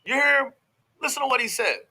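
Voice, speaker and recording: A man's voice: very high-pitched, quick pace, pushed and bright, slight background noise. A man giving casual, profane reaction commentary as host of a true crime show, in a brash, folksy, slangy way.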